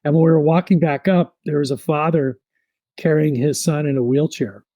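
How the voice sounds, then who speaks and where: A man in his thirties, a podcast guest, telling his story in a relaxed, reflective way with many fillers. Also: on the high side, normal speed, no echo.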